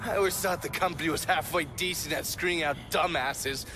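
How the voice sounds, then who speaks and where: A man's voice: a little high, fairly quick, natural voice. A man playing a cocky, foul-mouthed American intelligence operative in a video game, with a wry, casual delivery. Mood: smug. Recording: loud music and ambient noise behind.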